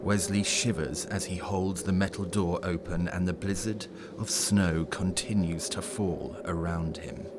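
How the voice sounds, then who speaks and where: A man narrating a scripted horror story in a dramatic, deliberate storytelling manner. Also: low-pitched, fairly even intonation. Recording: clearly noisy background ambience.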